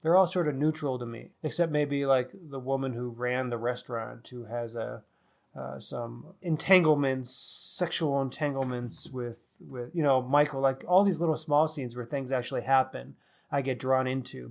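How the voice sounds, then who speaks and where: A man's voice: medium pitch, steady tone, normal speed, creaky. A man co-hosting a conversational book discussion, candidly thinking his opinions through aloud. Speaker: podcast co-host.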